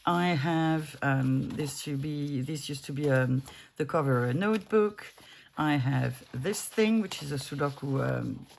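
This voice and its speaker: Gravelly-voiced woman in her fifties. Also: low-pitched, slight background noise. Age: 50s. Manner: rambling, self-interrupting, easygoing. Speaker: junk journaling crafter. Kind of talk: casual chat while crafting. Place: home craft desk.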